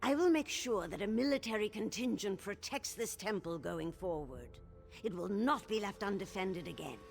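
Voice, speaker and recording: A woman's voice: medium pitch, creaky. An actress playing a stately older orc matriarch, the king's proud mother, in a video game, formal and authoritative. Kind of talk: dramatic video game voice acting. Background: game music.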